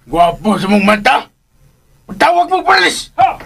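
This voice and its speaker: Mocking angry accuser, radio drama, very high creaky voice, kinda far from the mic. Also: male voice, very animated, unhurried, a bit bright, full character voice. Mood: accusing, mocking. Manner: taunting, accusatory. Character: aggressive, mocking accuser confronting a suspected snatcher. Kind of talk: radio drama acting in Cebuano. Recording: distant from mic, noisy background.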